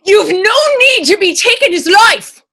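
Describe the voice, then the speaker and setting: A woman's voice: extremely high pitch, lively intonation, measured pace, full character voice. An actress playing a defiant, scornful woman in a stage drama, speaking in righteous, old-fashioned phrases. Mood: desperate, angry. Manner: sharp, archaic, righteous phrasing. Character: defiant, scornful, pious-tongued woman accused of witchcraft. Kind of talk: stage readers theater drama.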